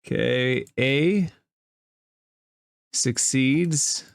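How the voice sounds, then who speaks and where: A man's voice: mid-range voice, drawn-out words, vocal fry, clear, resonant tone, no echo, studio quality. A man acting as game master in a tabletop role-playing game, giving rulings in a matter-of-fact way.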